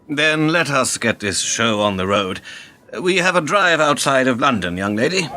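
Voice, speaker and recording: A man's voice: medium pitch, sing-song, moderate pace, sharp tone. An actor playing a lofty, worldly older businessman who lectures in formal phrases in a radio play. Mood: brisk. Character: older worldly, self-justifying spin businessman, lofty and paternal.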